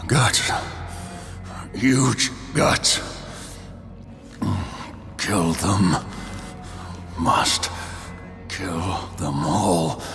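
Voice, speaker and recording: An actor playing a battle-crazed wounded warrior in a video game, raving in a gravelly voice with halting, shouted outbursts of bloodlust. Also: male voice, low voice, lively intonation, over music, heavily acted. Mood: delirious rage. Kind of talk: scripted video game cutscene acting.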